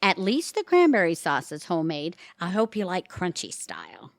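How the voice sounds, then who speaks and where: A woman's voice: on the low side, huge pitch swings, moderate pace, dry recording, clean studio sound. A woman playing a harried, sardonic middle-aged mother in a comedic audio drama, dramatic and wry. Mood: welcoming, flustered. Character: harried, sardonic mother hosting a chaotic holiday.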